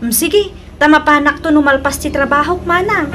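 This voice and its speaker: Woman as high-voiced, concerned Filipino daughter. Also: moderate pace, noisy background, close to an everyday voice. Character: dutiful working adult daughter, concerned for parents. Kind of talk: radio drama acting.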